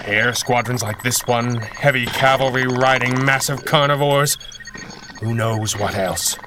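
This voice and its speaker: An actor playing a cool-headed 1930s masked superhero in radio serial style, speaking in a measured, articulate and wry way. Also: male voice, mid-range voice, natural voice. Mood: grave, determined. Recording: clearly noisy background ambience.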